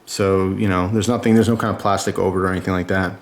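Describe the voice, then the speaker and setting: A man's voice: sits low, little pitch movement, fast. A man giving a casual product review in a chatty, informal and enthusiastic way.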